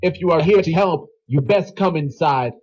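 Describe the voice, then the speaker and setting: A man playing a flustered, bossy older cowboy in a children's audio story, with a raspy voice. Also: on the high side, moderate pace, a little mellow, dull audio.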